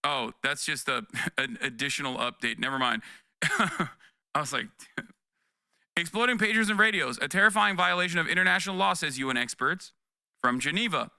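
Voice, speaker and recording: A man's voice: a little high, normal speed, gravelly, sharp tone, clean tone, no echo, clean studio sound. A man giving emphatic, blunt, off-the-cuff political commentary on a livestream, reacting to news and often swearing.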